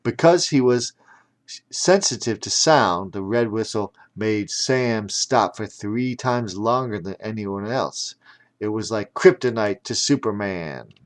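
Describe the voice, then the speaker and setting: A man's voice: lowish voice, unhurried. A man narrating a children's audiobook theatrically, breaking off for chatty explanatory asides.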